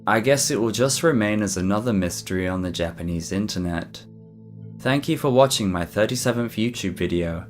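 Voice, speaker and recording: A man reading a scripted mystery documentary narration for an online video in a measured, explanatory way. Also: lowish voice, animated. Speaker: YouTube narrator. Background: background music.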